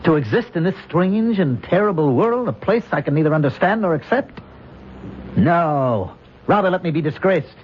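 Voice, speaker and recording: Man acting anguished reluctant fighter, radio play, expressive intonation, earnest, muffled vintage sound. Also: on the high side, moderate pace, a little hiss or hum, natural voice. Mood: despairing. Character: troubled, despairing man who refuses to fight. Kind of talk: old-time radio drama acting. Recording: dull lo-fi old radio recording.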